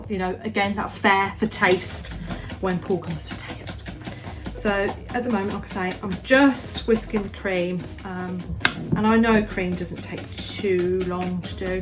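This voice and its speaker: A woman's voice: mid-range voice, fairly even intonation, a little slow, over music. A middle-aged woman chatting casually while she bakes, rambling with many restarts and "you know"s. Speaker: home baker, the host's mother. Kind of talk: casual chat while baking.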